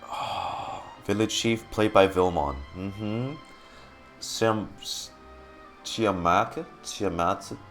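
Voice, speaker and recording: A man streaming casual game reaction commentary, excitable and often repeating his words. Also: on the low side, slow, faint music behind.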